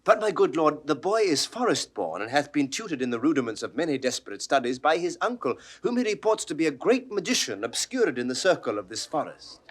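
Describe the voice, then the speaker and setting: Earnest, higher-voiced British young lover. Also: male voice, very animated, fairly quick, hardly any pauses. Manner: earnest, formal. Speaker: actor.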